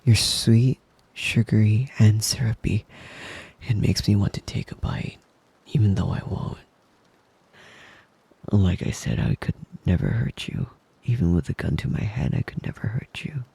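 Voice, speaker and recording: A man playing a possessive, doting yandere wolf boy in an intimate ASMR roleplay, slow and soft, cooing praise and often dropping into a whisper at a close mic. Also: on the low side, lively intonation, vocal fry, slightly rough, heavily acted. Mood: tender, besotted.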